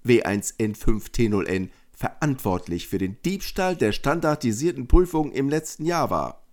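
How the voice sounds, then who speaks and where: A man's voice: medium pitch, sing-song, moderate pace, darkish tone, lightly acted. A man playing a stern, pompous, short-tempered school principal in an amateur audio drama, formal and intimidating. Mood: officious accusing.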